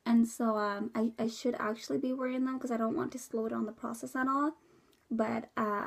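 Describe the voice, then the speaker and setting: A woman's voice: fairly high pitch, vocal fry. A young woman from the US Midwest, congested from a cold, giving a rambling casual update to camera with many fillers. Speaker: vlogger.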